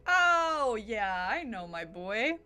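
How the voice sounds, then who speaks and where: A woman's voice: a little high, very animated, slow, sharp tone, slight background noise. A woman streaming a video game with casual, chatty, playful commentary, often muttering to herself.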